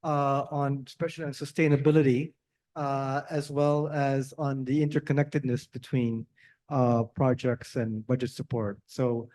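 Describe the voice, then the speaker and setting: A man's voice: medium pitch, little pitch movement, moderate pace, a little mellow. A man moderating a conference question session, speaking politely and briefly without a script. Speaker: panel moderator.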